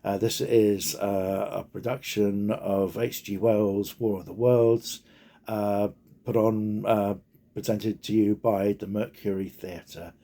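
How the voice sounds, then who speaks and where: Slow, halting man, mellow voice. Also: fairly low pitch, fairly even intonation. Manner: halting, hesitant, heavily punctuated with ums and pauses. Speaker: speaker giving personal reflections. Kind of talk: informal unscripted talk.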